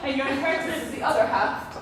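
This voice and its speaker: Echoey, high-voiced actress as ambitious daughter. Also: female voice, fast, fairly bright tone. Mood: confident, teasing. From Tanzania. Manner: sardonic sibling banter, projected theatrical delivery. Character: ambitious, teasing adult daughter of a wealthy businessman. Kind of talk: stage play dialogue. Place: theatre stage, picked up from a distance. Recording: echoey theatre, distant mic, faint noise.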